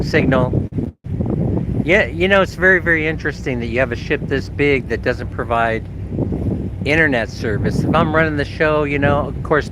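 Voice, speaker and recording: A man with a slight head cold, sounding a little nasal, chatting casually and self-mockingly to his live-stream viewers from a phone on a ship's deck. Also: medium pitch. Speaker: vlogger hosting a live stream. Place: outdoors on a ferry deck. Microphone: phone held at arm's length. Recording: loud wind and boat noise. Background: wind and ship engine.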